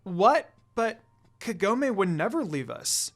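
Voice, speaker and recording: A man's voice: sits high, very animated, slow, soft background music, voice pushed up, exaggerated voice. A man voicing an upset, loyal woman who argues on behalf of her friend in a story reading. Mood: disbelieving.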